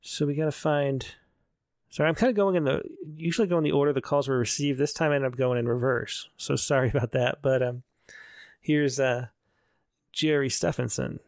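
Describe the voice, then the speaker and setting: A man's voice: medium pitch, fairly quick, a little mellow, dry recording, clean studio sound. A man hosting a call-in show, chatting casually and guiding callers. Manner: friendly, guides callers through technical steps. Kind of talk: casual chat.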